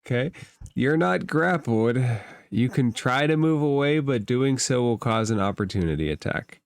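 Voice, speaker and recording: A man's voice: medium pitch, expressive, moderate pace, clear, resonant tone. A man acting as game master in a tabletop role-playing game, giving rulings in a matter-of-fact way.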